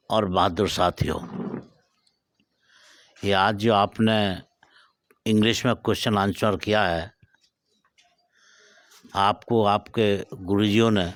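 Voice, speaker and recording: A man's voice: lowish voice, dry recording. A man giving a formal, exhorting motivational speech to school pupils. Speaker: speaker addressing school pupils.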